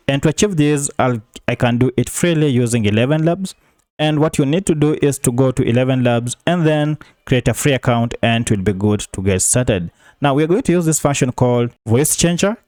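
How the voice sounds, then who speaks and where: Man, tutorial presenter, mid-pitched voice, step-by-step screencast narration, plain instructional delivery, dry close mic. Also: a little mellow, dry recording.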